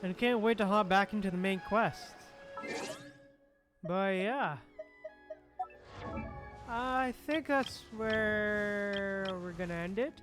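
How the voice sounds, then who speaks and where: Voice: medium pitch, slow, pauses often. A livestream host chatting casually while gaming, often repeating phrases in reply to chat. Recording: music playing behind the voice.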